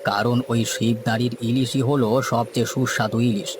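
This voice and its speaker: A man's voice: mid-range voice, fairly even intonation, a little fast, background noise, lightly acted. A man playing a seasoned, boastful village boatman in a cartoon, with a folksy, lecturing manner. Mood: knowing, explaining. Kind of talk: cartoon story dialogue.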